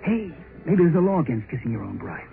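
An excitable young bridegroom with a high, very animated delivery. It is 1930s radio acting on a muffled, narrow-band recording. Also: male voice, brisk, gravelly, faint music behind. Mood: playful. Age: young adult. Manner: playful, exaggerated, repetitive. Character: boisterous, clowning young bridegroom, lovable and excitable.

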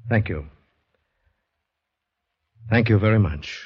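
An actor playing an uneasy, haunted young man in a 1940s radio drama, halting and grave. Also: lowish voice, breathy edge, faint music behind, full character voice. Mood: uneasy, guarded. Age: young adult. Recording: dull 1940s radio recording.

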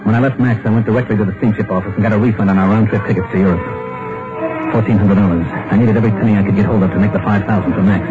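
An actor playing a desperate, ruined middle-aged husband who also narrates, in a 1950s radio drama. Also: male voice, on the low side, steady tone, racing, close to an everyday voice. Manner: earnest, strained. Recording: tinny old radio broadcast with music.

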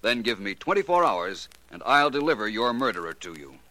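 A man's voice: fairly low pitch, sing-song, brisk, heavy background noise. A man acting a suave, confident amateur detective in a 1940s radio mystery, urbane and courteous in manner. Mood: assured, wry. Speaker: radio actor. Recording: vintage 1940s radio recording.

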